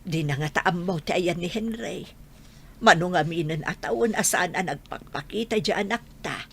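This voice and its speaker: A sorrowful old grandmother , acted by a woman, in an Ilocano radio drama. Her voice sits a little low and sounds husky. She speaks plaintively, with the sighing ache of a mother missing her son. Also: normal speed. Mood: pleading.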